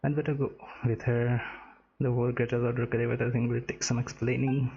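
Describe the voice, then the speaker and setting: A man's voice: mid-range voice, flat delivery, a little fast, vocal fry, warm tone, muffled recording, a little hiss or hum. A man streaming a video game, giving casual commentary in offhand, murmured asides. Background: game music.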